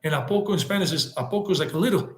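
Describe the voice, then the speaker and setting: Man, live-stream host, rambling casual chat, slightly high voice, roomy echo. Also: rapid-fire. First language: English. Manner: rambling, answers viewer comments, mixes Spanish words. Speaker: travel vlogger hosting a live stream.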